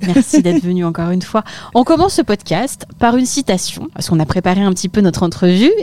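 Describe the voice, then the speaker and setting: A woman hosting a podcast, reading a scripted intro and then asking relaxed interview questions. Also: mid-range voice, a little fast. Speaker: podcast host.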